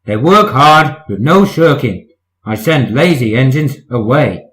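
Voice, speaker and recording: A man's voice: medium pitch, lively intonation, a little slow, a bit bright. A man voicing a stern, pompous but fair railway boss in a children's storybook, speaking severely and emphatically. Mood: cross, severe.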